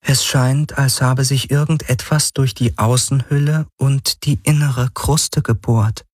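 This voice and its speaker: Calm knowing artificial presence, as a man puts it on. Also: mid-range voice, unhurried, no echo, clean studio sound, voice pushed up, close to an everyday voice. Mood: explaining.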